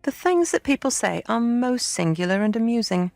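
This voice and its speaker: A woman voicing a cool, teasing village girl who speaks in a slow, superior drawl. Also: a little high, sing-song, vocal fry, no echo, faint music behind, pitched above the performer's own voice. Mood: aloof.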